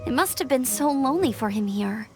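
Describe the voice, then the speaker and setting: A kind young female scout in a fantasy game. Her voice is high and animated, upbeat and earnest, with music clearly underneath. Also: brisk. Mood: earnest, sympathetic.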